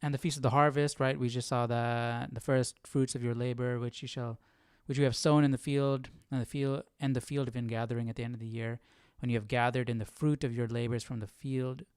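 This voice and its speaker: Clear, dry-sounding man thinking aloud. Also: mid-range voice, flat delivery, brisk, few pauses, dry recording. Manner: questioning, repeats phrases, checks in with "right?". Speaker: host of a live Bible study stream. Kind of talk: casual Bible study commentary, thinking aloud.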